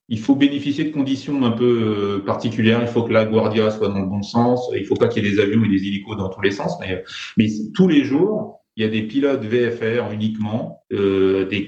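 Brief: low-voiced French man, halting storyteller, echoey muffled recording. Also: a little mellow. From France. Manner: hesitant, many fillers and restarts. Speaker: private pilot guest. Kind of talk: conversational storytelling. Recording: roomy, dull lo-fi sound.

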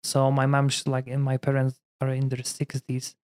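A man in his thirties from Poland, a priest speaking English with a Polish accent in a relaxed conversation, full of "you know" fillers. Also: mid-range voice, moderate pace, clear, resonant tone. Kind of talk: casual conversation.

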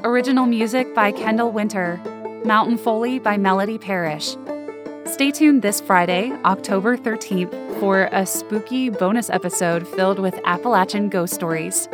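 A woman's voice: medium pitch, moderate pace. Woman reading credits over banjo music. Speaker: podcast credits announcer. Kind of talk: scripted credits announcement. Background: plucked banjo music.

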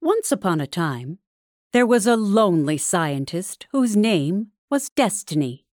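Slow, expressive woman storyteller. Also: mid-range voice, dry recording, clean studio sound. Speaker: narrator. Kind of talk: scripted storybook narration.

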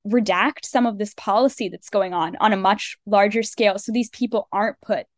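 A young woman who grew up in the American South as an immigrant, hosting a student podcast interview with earnest, measured questions. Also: mid-range voice, fairly even intonation, no room sound, studio quality. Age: young adult.

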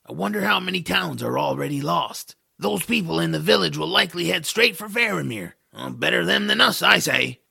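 Man acting a gravelly, sarcastic grump. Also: fairly high pitch, lively intonation, fairly quick, hardly any pauses, sharp tone, no room sound, heavily acted. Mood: gloomy. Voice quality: gravelly, raspy. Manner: dry, grouchy. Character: grumbling, sarcastic, pessimistic male travelling companion. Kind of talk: family audio drama acting.

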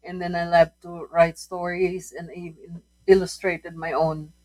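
Woman in her 60s, lowish voice. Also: little pitch movement, darkish tone, rough recording. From Philippines. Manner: halting, with frequent fillers and restarts. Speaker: guest, food writer and author. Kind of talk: casual interview.

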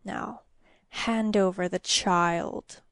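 Small sinister demon, played by a woman narrator: crackling hiss, very slow taunts, mock-polite menace, storybook villain, dry close sound. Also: medium pitch, fairly even intonation, fairly bright tone, no room sound. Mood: scornful, menacing. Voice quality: crackling, hissing, breathy whisper. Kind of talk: audiobook fairy-tale character voice.

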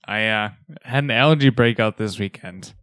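A man co-hosting a podcast, teasing, his voice slightly raspy and cracking. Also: fairly low pitch, moderate pace, fairly bright tone, dry recording, clean studio sound. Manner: teasing, wisecracking. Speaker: podcast co-host. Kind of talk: casual podcast banter.

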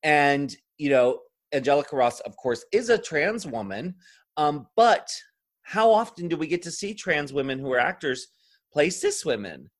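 A man's voice: on the high side, very animated, moderate pace. A man speaking as an interview guest in a casual podcast conversation, thinking aloud in a discursive way with frequent filler phrases. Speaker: interview guest, drag performer.

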